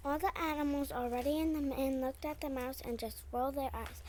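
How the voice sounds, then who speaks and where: A woman's voice: sits high, steady tone, brisk, darkish tone, a little hiss or hum. A woman reading scripted storybook narration for a readers theater performance.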